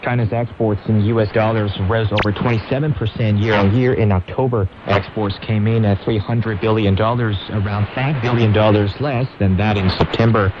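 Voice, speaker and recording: Male radio news anchor, lower register, scripted delivery, dull and noisy shortwave sound. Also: fairly even intonation, normal speed, hardly any pauses.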